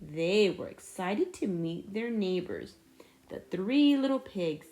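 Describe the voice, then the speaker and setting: Animated, slow-paced woman reading a storybook. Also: mid-range voice, low-quality recording. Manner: animated, dramatic build-ups. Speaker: storybook reader. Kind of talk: expressive children's read-aloud narration.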